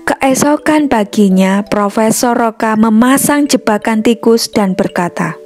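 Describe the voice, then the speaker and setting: High-voiced woman telling a children's story. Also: measured pace, darkish tone. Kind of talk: scripted children's story narration. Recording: music behind the voice. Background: music.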